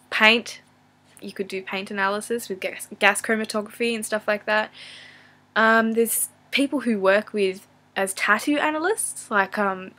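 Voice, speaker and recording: A young Australian woman talks off the cuff to her viewers. Her pitch sits in the middle range for a woman. She wanders through her thoughts in an apologetic, self-deprecating way, like an informal video diary. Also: bright, forceful tone. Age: young adult. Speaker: vlogger answering viewer questions. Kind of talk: casual unscripted chat to camera.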